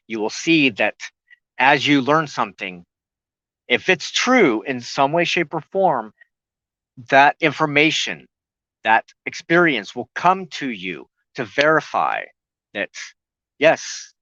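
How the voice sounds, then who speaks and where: A man hosting a spiritual talk show, explaining loosely and haltingly, often pausing mid-sentence to search for words. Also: medium pitch, pushed and bright, no room sound, muffled recording.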